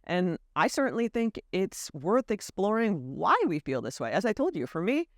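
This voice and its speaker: An American woman speaks candidly and earnestly to the camera, reflecting on her life abroad. Her pitch moves freely and expressively, and the recording is close and dry with no room sound. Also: moderate pace, dry recording. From United States. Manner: earnest, candid, lively emphasis. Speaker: vlogger. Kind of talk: reflective personal storytelling to camera.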